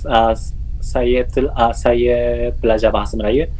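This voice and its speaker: A young man from Japan with a Japanese accent, giving halting interview answers in a second language with a smile. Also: medium pitch, steady tone, a little mellow, rough recording. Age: young adult. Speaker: podcast guest. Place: indoor room, video call. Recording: clear background room noise.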